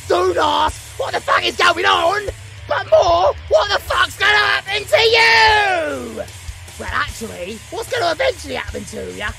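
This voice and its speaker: A British man playing a gleefully unhinged undead vampire-werewolf half-breed, shouting and rambling theatrically in an audio drama. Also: very high voice, big pitch swings, a little slow, creaky, music in the background, exaggerated voice, poor audio. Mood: gloating menace. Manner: shouting, rambling, cackling, fourth-wall-breaking trivia patter.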